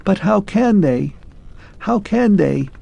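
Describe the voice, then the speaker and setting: A man voicing a melancholy clockwork man who speaks in a shrill, faint, pleading way. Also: expressive, slow pace, gravelly, mellow, slightly rough, muffled recording, full character voice. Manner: pleading, wistful, grows faint and distant.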